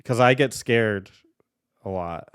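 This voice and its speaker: A man co-hosting a gaming podcast, chatting casually in a laid-back, halting way. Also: fairly low pitch, clear, resonant tone, no echo, studio quality. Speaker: podcast co-host. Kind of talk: casual chat.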